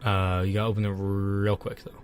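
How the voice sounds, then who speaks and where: A man co-hosting a podcast, trading deadpan absurd jokes in casual chat, a little congested from a cold. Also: lowish voice, brisk, a little hiss or hum. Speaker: podcast co-host.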